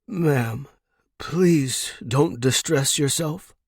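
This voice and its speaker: A man voicing a gentle, deferential male servant with a formal, placating manner in a suspense story. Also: fairly high pitch, extremely slow, dry recording, studio quality, voice pushed up, heavily acted. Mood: pleading. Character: deferential, gentle, anxious male servant attending old lady.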